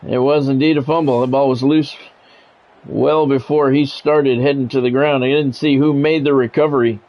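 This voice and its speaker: A man's voice: mid-range voice, steady tone, normal speed. A man streaming a sports video game, switching between play-by-play commentary and casual chat. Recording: dull lo-fi audio, music behind.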